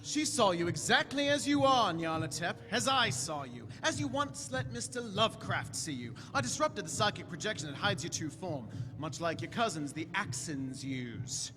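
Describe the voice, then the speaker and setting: A man's voice: high-pitched, big pitch swings, normal speed, few pauses, background noise. A man playing a clever, eccentric alien time traveller, wry and confidently explaining, in a live audio drama. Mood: urgent, probing. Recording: echoey hall stage recording.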